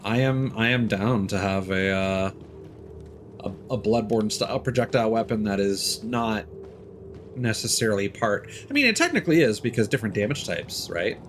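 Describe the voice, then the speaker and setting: A young man with a lowish voice that swings up and down a lot, talking casually over his gameplay and musing as he goes. A faint hiss of noise sits under his voice. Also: lively intonation, normal speed. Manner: casual, thinking aloud, reads game text. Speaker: streamer. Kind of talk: live gameplay commentary.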